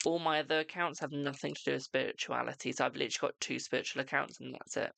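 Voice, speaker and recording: A British tarot reader hosting a live stream, chatting casually and answering viewers' questions with a brisk "my darling". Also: medium pitch, fairly even intonation, creaky, sharp tone, no echo. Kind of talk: casual live-stream chat and card readings.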